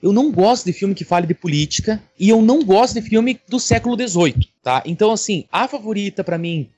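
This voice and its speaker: A man's voice: sits high, expressive, a little fast, a little mellow, slightly rough, faint music behind. A man with a Brazilian accent chatting casually about films on a podcast, blunt and opinionated, swearing freely. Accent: Brazilian Portuguese. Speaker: podcast panelist. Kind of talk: casual film chat.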